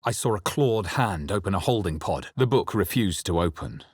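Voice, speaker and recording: Deep, husky fantasy adventurer. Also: male voice, lively intonation, normal speed, no room sound, studio quality. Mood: solemn, intent. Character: grave male fantasy adventurer narrating his journey and casting spells. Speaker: video game player-character voice. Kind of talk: dramatic scripted fantasy game narration.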